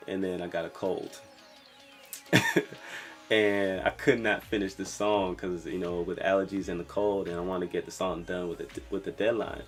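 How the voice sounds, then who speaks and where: A young man from Brooklyn, New York, a singer-songwriter talking casually about his music with a soft voice and lots of "you know"s. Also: low voice. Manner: relaxed, rambling, lots of fillers like "you know".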